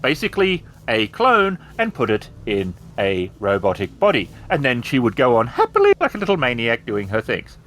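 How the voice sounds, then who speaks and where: A man's voice: mid-range voice, huge pitch swings, moderate pace, few pauses, a bit bright. A man playing a smug, misanthropic villain robot with a theatrical, taunting delivery. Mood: smug explaining.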